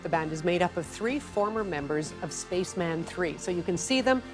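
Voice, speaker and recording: A woman's voice: on the low side, lively intonation, normal speed, music in the background. A woman hosting a magazine show, chatting with a guest and presenting events. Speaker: TV show host.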